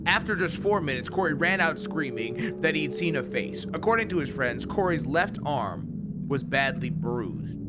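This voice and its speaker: A man's voice: on the high side, animated, moderate pace, very noisy. A man reading scripted horror documentary narration in a formal, matter-of-fact way.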